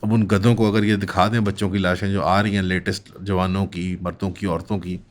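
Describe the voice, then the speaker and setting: A man's voice: low-pitched, level tone, fairly quick. A man with a Pakistani accent giving political commentary as an analyst, in long winding sentences with English terms mixed in.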